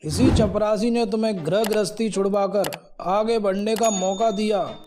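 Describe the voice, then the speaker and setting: A man's voice: high voice, a little slow, darkish tone, slight background noise. A man playing a humble, wounded husband who speaks with resigned reproach in a dramatized moral story. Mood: hurt, reproachful. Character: wounded, humble husband, a working-class office peon. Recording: music behind the voice.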